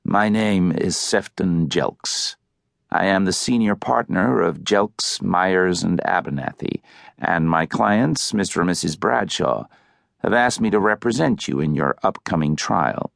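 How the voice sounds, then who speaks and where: A man acts out an elderly lawyer from an audiobook, shrewd and coolly probing. The voice is low and creaky, with formal, deliberate phrasing and a dry, close sound. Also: expressive, no echo, heavily acted. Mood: formal, courteous.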